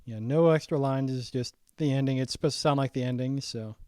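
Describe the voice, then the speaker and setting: A young man walking through his own saxophone arrangement in a casual, halting way, full of ums and restarts, his voice getting raspy. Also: medium pitch, a little mellow, no room sound, clean studio sound. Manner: halting, many ums and restarts, thinking aloud. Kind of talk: casual screen-share breakdown.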